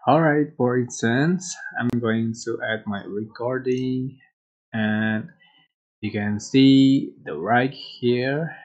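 A person presenting a software tutorial in an informal, halting way. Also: medium pitch, muffled recording. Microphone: screen-recording voice-over.

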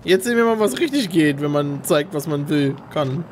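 Lively gamer voice, casual play chat, crude jokes, swearing, faint game noise behind. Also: mid-range voice, normal speed. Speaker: gaming video creator. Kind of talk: casual joking chat while gaming. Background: video game vehicle and combat noise.

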